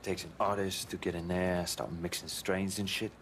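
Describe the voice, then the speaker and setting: An actor playing a scruffy, profane young American hippie veteran, slangy and colorful, in a video game. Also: male voice, sits low, little pitch movement, moderate pace, a bit bright, slight background noise. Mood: easygoing. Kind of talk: video game cutscene acting.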